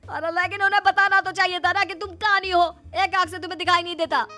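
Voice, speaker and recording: A woman's voice: very high voice, fairly even intonation, fast, pushed and bright, soft background music, pitched above the performer's own voice. A woman voicing a greedy, indignant young bridegroom in a melodramatic, complaining way for a moral story.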